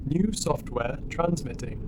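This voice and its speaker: A man's voice: on the high side, unhurried, far from the mic, heavy background noise, natural voice. An actor playing a literal-minded male war robot in a science fiction audio drama, guarded yet naively curious, with stilted formal phrasing. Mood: flat, formal. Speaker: robot soldier. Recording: music behind.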